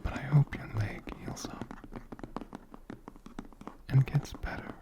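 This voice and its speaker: A man voicing an ancient, gentle, affectionate dragon in soft-spoken whispered ASMR, lingering over words and softly repeating them. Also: slow, lightly acted. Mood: soft, soothing. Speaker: ASMR host answering viewer questions. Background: computer keyboard typing.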